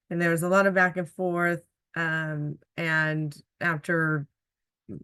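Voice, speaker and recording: A woman's voice: low voice, fairly bright tone, clean tone, no echo, studio quality. A woman moderating a webinar panel, asking questions in a conversational way with frequent "um"s. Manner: conversational, fills pauses with "um".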